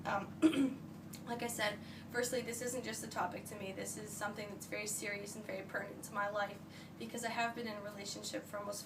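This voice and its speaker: A young woman who is hoarse from being sick gives an earnest class speech, halting often and restarting her sentences. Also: medium pitch. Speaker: student giving a speech. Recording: distant mic, faint ambient noise.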